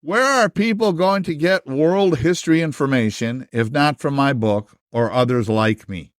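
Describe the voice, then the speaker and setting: A man playing an anxious, theatrical history book puppet in a stage puppet musical, with melodramatic, drawn-out delivery. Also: fairly high pitch, no echo, close to an everyday voice. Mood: dismayed, grave. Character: dramatic, anxious old-fashioned history book puppet, male.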